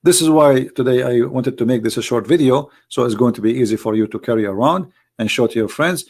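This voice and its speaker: A man's voice: mid-range voice, brisk, darkish tone, slightly rough. A man hosting a religious video, delivering an argumentative monologue in non-native English phrasing. He speaks emphatically, repeating points and asking rhetorical questions.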